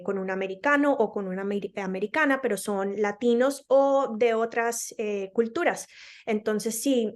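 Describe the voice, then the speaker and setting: A woman from Colombia with a Colombian accent, a speech-language pathologist being interviewed, who explains patiently with examples and many hesitant fillers. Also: medium pitch.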